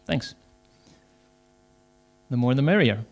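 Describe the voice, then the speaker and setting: A man's voice: mid-range voice, animated, slow. A man with a Dutch accent, speaking English as a second language, gives a conference talk as an agile coach, hesitant, with many fillers. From Netherlands.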